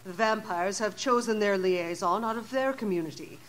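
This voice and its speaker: A woman's voice: medium pitch, moderate pace, natural voice. Woman playing a stately mayoress, noisy ambience. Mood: formal, diplomatic. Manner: stately, official. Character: formal, dignified lady mayoress of a Victorian city. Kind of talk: audio drama acting.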